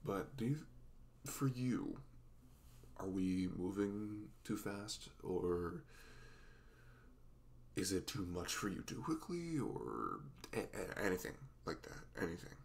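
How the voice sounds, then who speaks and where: A man playing a sweet, goofy, self-conscious young boyfriend in an intimate ASMR roleplay, speaking in a halting, casual, reassuring way. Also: sits low, big pitch swings, slight background noise, full character voice. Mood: earnest, reassuring.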